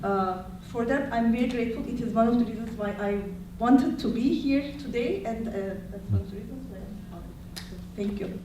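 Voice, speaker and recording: A woman from Turkey whose first language is Turkish, explaining history on a university panel in a measured way, with frequent fillers. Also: on the high side, very noisy. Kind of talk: academic panel talk. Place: university lecture hall. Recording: echoey hall, distant mic.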